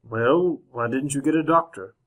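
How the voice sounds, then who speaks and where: Man playing a measured gentleman of the frontier. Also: mid-range voice, close to an everyday voice. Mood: measured, somber. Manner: measured, dry, understated. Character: composed, educated gentleman traveler in the wild west. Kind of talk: old-time radio drama acting and narration.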